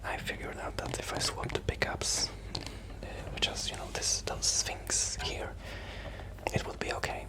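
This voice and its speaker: A man playing a shy, friendly young musician in a soft ASMR roleplay, rambling casually and hesitantly in a breathy, often whispered voice. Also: unhurried, natural voice. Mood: quiet, confiding.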